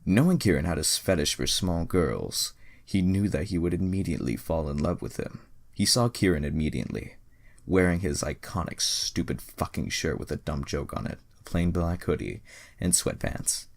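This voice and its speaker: Young male reader, bass-low and fry-heavy, sarcastic, sweary, mock-dramatic delivery of amateur fiction. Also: animated, normal speed. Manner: sarcastic, profane asides. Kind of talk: mocking dramatic reading of amateur fan fiction.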